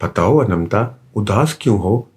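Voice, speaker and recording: Male narrator as magical female cat, storybook, gentle, encouraging, wise, lively intonation. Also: on the low side, unhurried, husky, exaggerated voice. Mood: reassuring.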